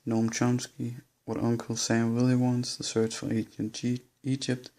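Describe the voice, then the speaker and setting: A young man speaks English with a Danish accent, working through his book collection in a halting, unsure way. His voice stays low in energy and flat in tone, with a gravelly fry underneath. He sounds slightly shaky and nervous throughout. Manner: hesitant, halting listing with nervous laughs. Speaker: vlogger. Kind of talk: casual bookshelf tour.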